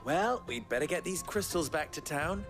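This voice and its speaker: An actor playing a wry, sardonic merchant fixer with a dry, teasing manner in a game cutscene. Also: male voice, a little high, lively intonation, moderate pace, fairly bright tone, soft background music. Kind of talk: video game cutscene acting. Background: game score.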